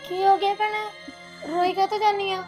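A young woman playing a sympathetic cousin who softly comforts the bride and pleads with the aunt. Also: very high voice, level tone, normal speed, music in the background. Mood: consoling.

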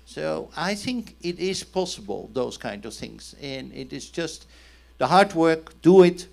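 Emphatic middle-aged man with gravelly voice. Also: on the high side, expressive, measured pace. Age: 50s. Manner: emphatic, asks and answers own questions. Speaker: panelist, company chief executive. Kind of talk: persuasive panel talk. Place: indoor conference event on a stage. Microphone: handheld microphone.

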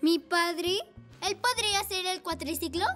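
A performer voicing an earnest, enthusiastic little boy trike in an animated children's dub. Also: extremely high pitch, measured pace, heavily acted. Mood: hopeful. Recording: loud cartoon music behind.

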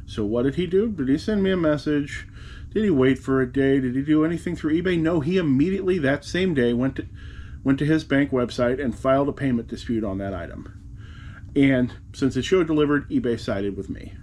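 A man in his forties chats to the camera from behind a desk, wry and rambling, with asides about what he has sold. His voice sits at an everyday middle pitch. A faint hum of room noise lies under the recording. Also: brisk, darkish tone. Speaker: eBay reseller vlogger. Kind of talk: casual talk to camera. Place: cluttered room indoors, seated at a desk. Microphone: camera in front of him at the desk.

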